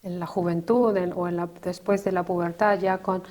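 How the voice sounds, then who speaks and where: Chilean woman, fifties, interview guest; reflective, halting delivery with frequent restarts. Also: on the low side, little pitch movement, darkish tone. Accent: Chilean Spanish. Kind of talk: personal testimony in conversation.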